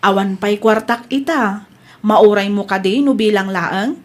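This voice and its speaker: A woman's voice: medium pitch, unhurried, natural voice. A woman acting a dutiful, hardworking married elder sister in an Ilocano audio drama. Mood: earnest.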